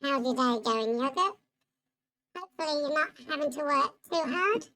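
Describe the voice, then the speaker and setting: A man putting on a bright babyish voice. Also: very high voice, voice pushed up, heavily acted. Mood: chirpy. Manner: slow, sing-song baby voice. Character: babyish high-voiced version of the streamer. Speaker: streamer.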